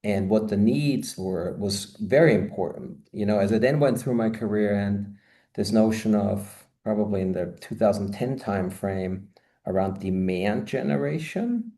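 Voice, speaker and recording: A man's voice: fairly low pitch, normal speed, mellow. A man in his fifties, born and raised in Austria, speaking as a guest on a video-call interview from a home office, talking expansively with many "you know" asides. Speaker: guest, marketing consultant. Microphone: video call, webcam.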